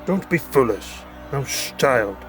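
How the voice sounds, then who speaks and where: A man's voice: mid-range voice, expressive, a little slow, over loud music, lightly acted. Man acting a pompous king, voice ringing with reverb. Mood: smug, dismissive. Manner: pompous, dismissive. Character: haughty, bloodthirsty king, father of a princess. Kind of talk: audio drama acting, grand proclamation. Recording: echoey hall, long reverb, noisy ambience.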